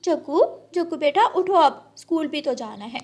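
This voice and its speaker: Cartoon mother bird played by a woman, higher register, patient, gentle, coaxing and motherly. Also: expressive, normal speed.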